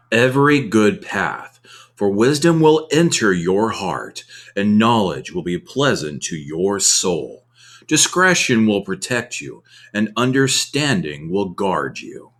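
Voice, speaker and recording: A man's voice: fairly low pitch, very animated, slow pace, a bit bright. A man reading scripture aloud in a devotional ASMR style. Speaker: scripture reader.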